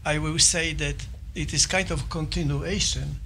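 An older man from Poland with a Polish accent, giving a formal presentation and often pausing with fillers and restarts. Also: fairly high pitch, fairly even intonation, bright, forceful tone, a little hiss or hum. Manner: halting, with many fillers and restarts. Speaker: visiting scholar presenting. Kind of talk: formal presentation to a small audience. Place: office meeting room.

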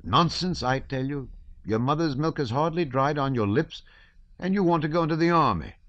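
A man voicing an excitable, patriotic older nobleman and father who blusters loudly. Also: a little high, normal speed, no room sound, lo-fi sound, pitched above the performer's own voice. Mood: excited blustering.

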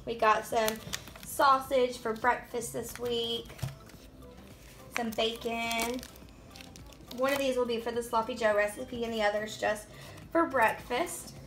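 A woman's voice: on the high side, fairly even intonation, slow, pauses often, a bit bright, faint music behind. A young woman, a mother, chatting casually and rambling a little as she talks straight to the camera in her home kitchen. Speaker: family vlogger. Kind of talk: casual grocery haul vlog.

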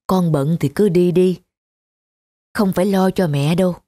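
Gentle, slow-spoken elderly country mother. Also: fairly low pitch, dry recording, studio quality. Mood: caring. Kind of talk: animated drama dialogue.

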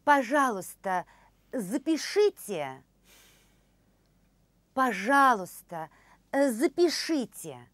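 High, slow, careful woman speaker. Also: big pitch swings, a bit bright, no room sound. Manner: repeats each phrase slowly and carefully. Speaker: language course speaker. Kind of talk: slow, clear phrase demonstration. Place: studio with orange backdrop.

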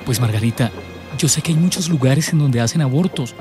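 An actor playing an earnest, supportive young Colombian boyfriend in a radio soap opera. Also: male voice, mid-range voice, a little fast, music in the background, lightly acted. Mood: concerned, supportive.

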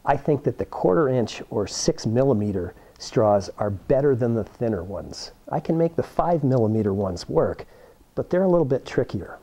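A man's voice: medium pitch, expressive, normal speed, dark tone. A man, giving a plain-spoken, encouraging how-to demonstration to camera as an instructor. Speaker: science toy-making instructor. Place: indoor workroom with cabinets.

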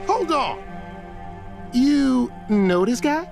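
A cautious young superhero in a video game. His nervous voice is very high and creaky, over some music. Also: sing-song, drawn-out words, heavily acted. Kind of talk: video game cutscene acting.

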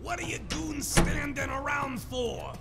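An actor playing a bossy, impatient gang foreman barking orders in an audio drama, with loud music behind. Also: extremely high pitch, lively intonation, measured pace, vocal fry, exaggerated voice. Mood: bossy, urgent. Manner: barking, commanding.

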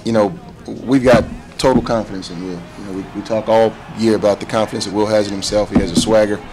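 Fast-talking coach with many fillers. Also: male voice, lowish voice, steady tone, darkish tone, a little hiss or hum, low-quality recording. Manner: quick, halting, many "you know" fillers. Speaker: head football coach. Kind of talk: postgame press conference answers. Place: press room before a sponsor backdrop. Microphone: table mic in front.

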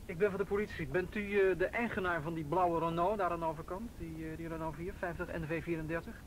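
Brisk, commanding male cop, played by an actor, high voice, old radio drama sound with slight noise. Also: steady tone, close to an everyday voice, rough recording. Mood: polite, insistent. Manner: commanding, probing. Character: brisk, persistent male police officer questioning suspects. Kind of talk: Dutch radio play dialogue.

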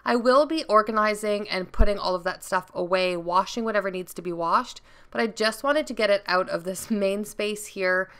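A woman's voice: mid-range voice, normal speed, few pauses, a bit bright, smooth. A woman in her thirties giving a chatty, upbeat voice-over for a home cleaning vlog. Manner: chatty, upbeat, explains each step. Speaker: vlogger.

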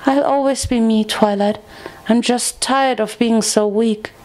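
A woman's voice: a little high, steady tone, unhurried, a little mellow, close to an everyday voice. A woman voicing a timid, guilt-ridden young female pony in a story, stammering and pleading tearfully. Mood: earnest, determined. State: crying.

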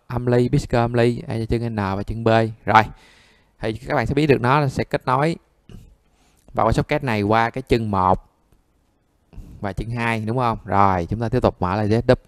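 Male instructor, lowish pitch, dry close sound, conversational step-by-step delivery. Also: no echo. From Vietnam. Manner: conversational, checks often with listeners. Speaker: phone repair instructor. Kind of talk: step-by-step screen tutorial.

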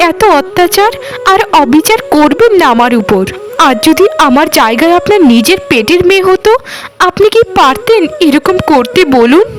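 An overworked young maid from a cartoon , voiced by a woman, always pleading her case. The voice is very high and lively in pitch, plaintive and full of protest. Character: overworked, pleading young orphan housemaid girl. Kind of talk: Bengali cartoon dialogue.

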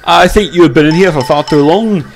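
A man's voice: fairly high pitch, brisk, faint music behind, pitched above the performer's own voice, natural voice. A man voicing a jovial, teasing male steam locomotive with a Scottish accent in a children's story. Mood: mocking, amused.

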